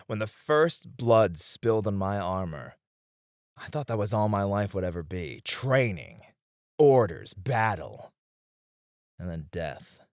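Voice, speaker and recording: A man's voice: lowish voice, big pitch swings, slow pace, a little mellow, dry recording, clean studio sound, heavily acted. A man playing a weary, tender warrior and teasing sparring partner in an intimate ASMR romance roleplay, speaking wistfully with chuckles and sighs. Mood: hopeful, weary.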